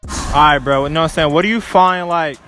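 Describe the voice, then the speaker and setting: A man's voice: a little high, fairly quick, bright, forceful tone, soft background music. A young man conducting a casual street interview at a school, slangy and repeating "all right, look" and "you know what I'm saying," speaking into a phone. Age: young adult. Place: outdoors and in hallways at a high school. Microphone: phone held to the mouth.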